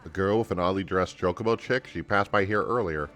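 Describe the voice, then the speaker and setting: Man voicing a low, helpful fantasy townsperson. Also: brisk, fairly bright tone, soft background music. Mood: concerned.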